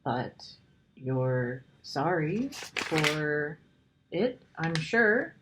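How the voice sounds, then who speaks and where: A woman voicing a kind, innocent little girl from a children's storybook, speaking politely and sincerely with gentle insistence. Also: deep voice, sing-song, drawn-out words, background noise, exaggerated voice. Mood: gentle, reassuring. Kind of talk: children's storybook character reading.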